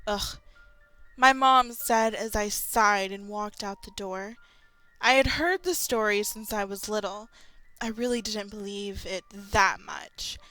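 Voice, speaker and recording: A woman's voice: mid-range voice, steady tone, unhurried, bright, forceful tone, faint music behind, low-quality recording. A young woman with a cold and a slightly nasal voice, reading a horror story aloud and breaking in with sarcastic, exasperated commentary. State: has a cold. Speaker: channel host reading horror stories.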